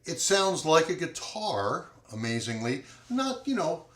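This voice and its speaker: A man reviewing an acoustic guitar in a relaxed, rambling way, with lots of fillers and a loud voice. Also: on the high side, measured pace. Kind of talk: casual product review with playing. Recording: echoey room, guitar playing behind.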